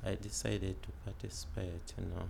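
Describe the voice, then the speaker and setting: A man's voice: sits low, little pitch movement, normal speed, creaky, darkish tone, a little hiss or hum. A man in his late twenties from Uganda, an art student answering interview questions in a hesitant, casual way with many fillers. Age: 20s. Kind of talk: casual oral history interview.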